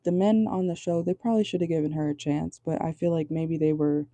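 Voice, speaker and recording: A woman with a low voice giving a casual tarot reading from home, chatty and rambling, often checking in with her viewers. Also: fairly even intonation, fairly quick, mellow, dry recording. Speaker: tarot reader. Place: at home indoors at night.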